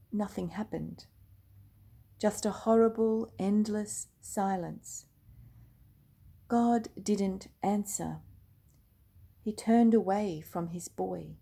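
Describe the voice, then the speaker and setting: An older woman reads a children's storybook aloud at an unhurried, slow pace. Her voice is gentle and hushed and sits at a middle pitch. At times she falls to a soft near-whisper. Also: gravelly, slightly rough.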